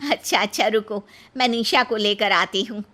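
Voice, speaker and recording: A woman's voice: medium pitch, fairly even intonation, moderate pace, a bit bright, natural voice. A woman voicing a kindly but firm mother-in-law in a moral story, with a motherly, insistent manner. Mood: pleased. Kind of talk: animated moral-story acting.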